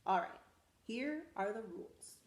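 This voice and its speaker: A school principal, a woman, reading a picture book aloud to children in a lively, expressive way. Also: fairly high pitch, unhurried, roomy sound, slight background noise. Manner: animated, expressive storytelling to children.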